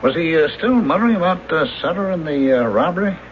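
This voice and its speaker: An actor playing a helpful, matter-of-fact police lieutenant with a folksy manner in a 1970s radio drama. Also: male voice, on the high side, expressive, fast talker, lightly acted. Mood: curious, sympathetic. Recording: muffled, hissy 1970s radio recording.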